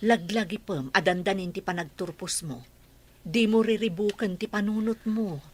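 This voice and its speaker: A caring mother in middle age, earnest and worried, as a woman plays her. Her voice sits at a medium pitch for a woman. She is a figure in a homespun radio drama. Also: normal speed, slight background noise, lightly acted.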